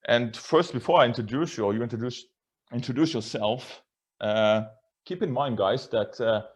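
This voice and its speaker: A man's voice: medium pitch, moderate pace. A Norwegian man speaking English as a non-native, hosting a casual video-call interview in a chatty, self-deprecating way with many restarts. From Norway. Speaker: host.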